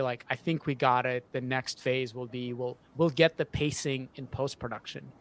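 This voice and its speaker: A man in his thirties, a sound designer, explaining his process in an interview from a home studio, restarting words as he goes. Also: medium pitch. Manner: halting, often repeats and restarts words. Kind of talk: interview answer about creative process. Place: small home studio, video call. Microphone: desk boom microphone up close.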